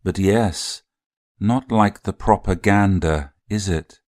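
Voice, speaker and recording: Ancient vampire noble, audio drama: very low, unhurried, formal and knowing. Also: male voice, heavy background noise. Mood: measured, knowing. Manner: measured, suave, formal. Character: long-lived vampire nobleman, composed and knowing. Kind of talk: audio drama acting, measured exposition.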